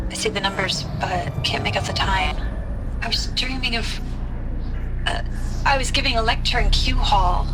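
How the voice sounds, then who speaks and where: An actress playing a weary scientist recording a log, talking slowly and reflectively, at times drifting into whispers. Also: female voice, medium pitch, natural voice, poor audio. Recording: voice log under strong music.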